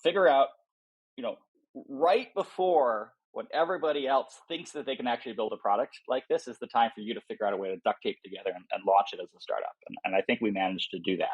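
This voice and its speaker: A man's voice: mid-range voice, fast, husky, lo-fi sound. A man speaking as an interviewed startup founder, explaining things informally and thinking aloud as he goes. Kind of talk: casual explanatory interview talk.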